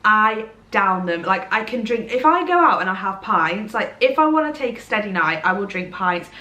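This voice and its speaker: Young woman, Midlands accent, bright lively tone, rambling casual chat, roomy home echo. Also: mid-range voice, normal speed. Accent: English Midlands. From Derbyshire, England. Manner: chatty, rambling, self-deprecating. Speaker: vlogger. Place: bright room at home, indoors.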